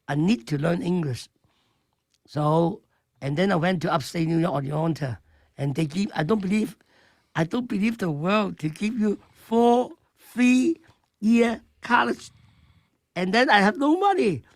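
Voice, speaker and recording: Older chef, gravelly. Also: male voice, sits high, no echo. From Hong Kong. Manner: broken English, animated and joking. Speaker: chef guest. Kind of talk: radio interview.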